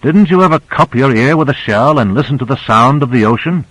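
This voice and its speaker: Man acting knowing scientist, raised pitch. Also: expressive, fairly quick, fairly bright tone, husky, no echo, poor audio. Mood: explaining, intrigued. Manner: explanatory, assured. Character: male scientist explaining marvels, knowing and reassuring.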